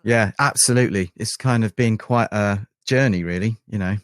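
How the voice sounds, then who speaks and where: A man's voice: on the low side, normal speed, no room sound, clean studio sound. A middle-aged man with an English accent, talking casually as a musician guest in an interview. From England.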